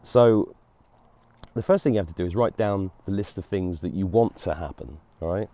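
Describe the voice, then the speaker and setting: Low, mellow-voiced man musing to camera. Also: moderate pace. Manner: rambling, earnest, with frequent restarts and fillers. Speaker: host. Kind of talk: solo monologue to camera.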